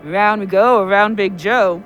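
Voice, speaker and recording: A reckless, drunk man coming unravelled in an audio play. His voice sits fairly high, with an insistent, excitable push, over prominent music. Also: a little slow, lo-fi sound. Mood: wild, excited. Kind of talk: audio drama acting. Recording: music behind the voice.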